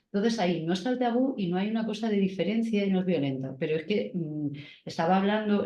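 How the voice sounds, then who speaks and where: A woman's voice: on the low side, mellow, muffled recording. A woman speaking in a round-table discussion as a therapist, emphatic and insistent. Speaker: panelist, Gestalt therapist. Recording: roomy recording.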